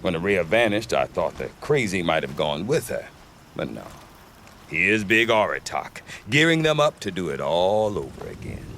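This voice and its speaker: A man's voice: deep voice, sing-song, normal speed, a bit bright, slight background noise, exaggerated voice. An actor playing a cynical male merchant who grumbles sardonically about the locals in a game cutscene. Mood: sardonic. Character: cynical male trader, scornful of local folk.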